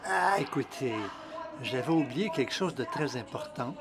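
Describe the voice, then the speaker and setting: A man introducing a speaker and then interpreting her talk, relaying it in the first person in a measured, even way. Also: medium pitch. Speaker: interpreter and emcee. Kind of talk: live interpretation of a seminar talk.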